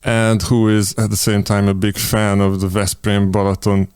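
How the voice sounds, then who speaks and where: A man hosts a podcast interview, speaking in a somewhat low, smooth, resonant voice. He stops and restarts often, leaning on fillers as he frames his questions. The sound is dry and close, with no room around it. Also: little pitch movement, moderate pace, a little mellow, dry recording. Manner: hesitant, many fillers and restarts. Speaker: podcast host. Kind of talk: online interview.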